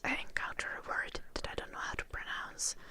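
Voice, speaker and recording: A woman from Finland hosting a live ASMR stream, whispering softly to her chat in English with a light Finnish tinge, close to the microphone. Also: fairly quick. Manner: soft whisper, reading chat names. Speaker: ASMR livestream host.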